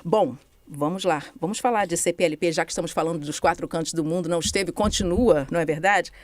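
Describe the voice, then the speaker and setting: A woman's voice: fairly low pitch, fast talker, dry recording. A woman with a Brazilian Portuguese accent who interviews a guest in a conversational way, often adding tag questions. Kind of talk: news interview.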